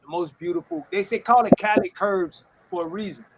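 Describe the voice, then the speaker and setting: The keen, slangy, high-pitched guy on a podcast panel who says it twice, with a crowd of voices around him. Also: wildly swooping pitch, brisk, vocal fry, poor audio. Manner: enthusiastic, repeats himself. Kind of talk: casual slangy chat.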